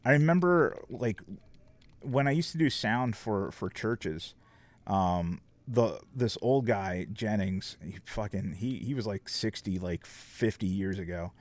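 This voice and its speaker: Male streamer, low-ish voice, loose profane casual chat. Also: measured pace. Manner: rambling, profane, lots of fillers. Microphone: desk microphone.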